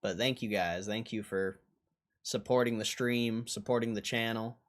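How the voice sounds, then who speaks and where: A man's voice: lowish voice, fairly even intonation, moderate pace. Casual male livestream host. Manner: informal, slangy, repeats phrases. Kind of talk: casual livestream chat, reading out viewer comments.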